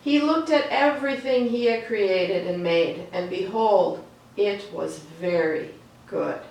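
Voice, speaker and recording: A woman reading aloud for a children's storytime in a slow, deliberate, expressive way. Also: medium pitch, gravelly. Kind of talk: read-aloud storytime with chatty asides. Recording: echoey room, distant mic, faint noise.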